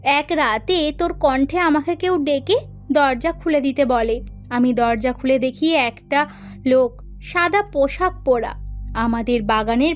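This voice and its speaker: A woman playing an anxious middle-aged village mother of a grown son, speaking earnestly and dramatically in a horror cartoon. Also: high-pitched.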